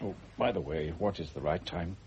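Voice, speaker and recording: An actor playing a calm, probing doctor in a radio play, speaking in a measured, authoritative way. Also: male voice, low-pitched, lightly acted. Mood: brisk. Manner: measured, authoritative, gently commanding. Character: calm, probing male doctor questioning a patient. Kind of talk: radio drama acting. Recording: muffled, noisy old radio recording.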